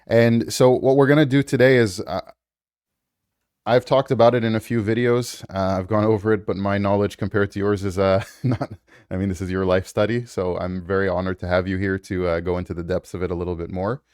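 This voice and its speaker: A deep, smooth male voice hosts an interview over a video call. He speaks hesitantly, with many fillers, and the sound is dry and close. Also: fairly even intonation, fairly quick, darkish tone, no room sound. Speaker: interview host. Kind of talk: casual interview.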